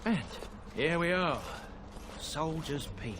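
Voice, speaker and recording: A wry, lively companion. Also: male voice, a little high, slow. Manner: wry, conversational. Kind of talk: video game cut-scene dialogue. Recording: noisy game audio.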